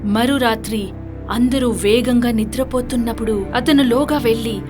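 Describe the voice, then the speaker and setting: High-pitched woman narrating a fairy tale. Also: steady tone, normal speed, music in the background.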